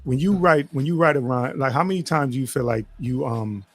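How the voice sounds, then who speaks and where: A man's voice: mid-range voice, fairly quick, soft background music. A man hosting an interview, asking long, rambling questions with many restarts. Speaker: podcast host.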